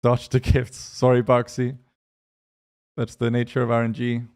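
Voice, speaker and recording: A young man with a light Danish accent, talking in a relaxed, chatty way as he streams a game. His voice sits at an ordinary middle pitch, and he rambles casually between thanks and small talk. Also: moderate pace, smooth. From Denmark. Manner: chatty, loose, reads names off chat. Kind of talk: casual chat with live chat.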